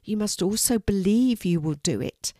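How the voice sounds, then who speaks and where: A woman voicing a kind, encouraging young girl in a children's storybook, speaking in a gentle, reassuring way. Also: medium pitch, expressive, normal speed, no echo, studio quality, natural voice.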